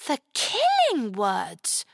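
A woman plays a sweet young girl in a fairy tale, innocent and wide-eyed. The voice is very high and expressive, hesitant in its phrasing, recorded dry and close. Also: very slow, creaky, dry recording, heavily acted. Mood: bewildered. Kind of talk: dramatised children's fairy tale reading.

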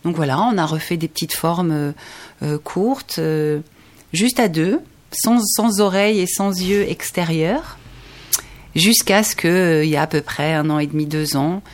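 Low-voiced hesitant woman singer. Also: measured pace. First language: Indonesian. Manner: hesitant, many fillers and restarts. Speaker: singer, guest. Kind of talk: radio interview, recounting.

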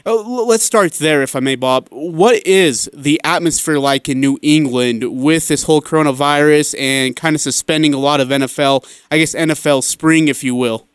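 A man's voice: on the high side, normal speed, hardly any pauses, clean tone, no room sound, studio quality. A man hosting a sports radio show, putting questions to a guest in an interview.

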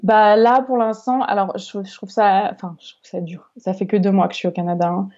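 A woman's voice: medium pitch, fairly even intonation, brisk, warm tone. A young woman chatting as an interview guest, hesitant, with many fillers and restarts. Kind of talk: casual interview chat. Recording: dull lo-fi recording.

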